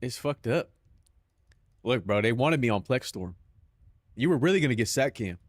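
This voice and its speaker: A young man with a Southern Californian accent riffs loosely with his stream chat, swearing freely and tossing in "bro" every few lines. His voice sits at an ordinary pitch. The sound is dry, with no room echo. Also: expressive, moderate pace. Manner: loose, profane banter peppered with "bro".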